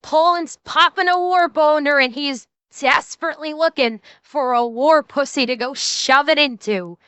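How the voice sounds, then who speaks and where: A woman streamer reacting in a crude, profane, laughing way. Her voice is high, bright and clear, with a lively rise and fall, and the recording is dry and close. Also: moderate pace, dry recording. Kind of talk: casual reaction commentary.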